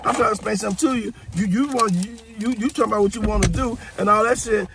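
A man's voice: high voice, fast, noisy background. An older man, arguing in a car, stammering and repeating words, swearing often. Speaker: passenger uncle. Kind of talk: casual argument. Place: inside a parked car. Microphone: phone on dashboard.

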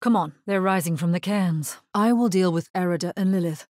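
A woman playing a grave, resolute necromancer hero in a video game, husky-voiced, speaking in a measured, solemn and terse way. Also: lowish voice, a little mellow, no room sound, clean studio sound, close to an everyday voice. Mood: firm, guarded. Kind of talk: scripted video game character lines.

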